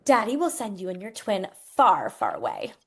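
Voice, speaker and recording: An actress playing a haughty, petulant young mayor's daughter in a romcom table read. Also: female voice, mid-range voice, huge pitch swings, normal speed, creaky, a bit bright, husky, heavily acted. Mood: petulant, scheming. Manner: haughty, dramatic. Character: spoiled, petulant young mayor's daughter, vindictive daddy's girl.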